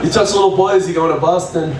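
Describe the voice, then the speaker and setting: A man in his sixties doing a stand-up set at an open mic, delivering dry, deadpan one-liners. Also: a little high, brisk. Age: 60s. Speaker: stand-up comedian. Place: open mic comedy venue. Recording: echoey room, distant mic, background noise.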